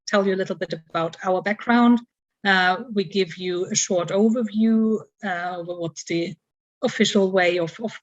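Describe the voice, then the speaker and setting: A woman moderating an online panel, speaking haltingly with many fillers. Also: mid-range voice, dull audio.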